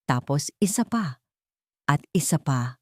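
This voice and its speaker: A woman's voice: sits low, expressive, a little slow, mellow, no room sound, studio quality. A woman narrating a scripted dramatic story.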